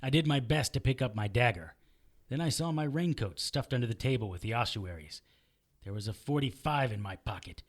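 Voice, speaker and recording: A man's voice: medium pitch, animated, fairly quick, lightly acted. A man playing a battered, wisecracking private investigator in an audio drama, narrating in a sardonic, hard-boiled manner. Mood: tense narration.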